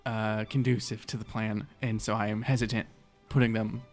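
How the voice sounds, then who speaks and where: A man's voice: lowish voice, little pitch movement, moderate pace, soft background music. Man joking around as a tabletop player. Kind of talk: casual joking in-character table talk.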